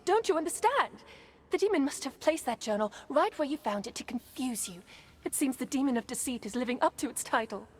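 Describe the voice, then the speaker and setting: A woman playing a scheming, condescending villainess in a video game cutscene, with a haughty, theatrical delivery. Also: high voice. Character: scheming deceitful woman, condescending toward humans, turning menacing.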